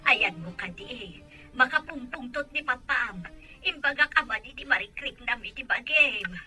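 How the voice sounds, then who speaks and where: An actress playing a nagging, outspoken matron in a radio drama. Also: female voice, on the high side, animated, normal speed, bright, forceful tone, faint music behind. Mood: scolding, nagging.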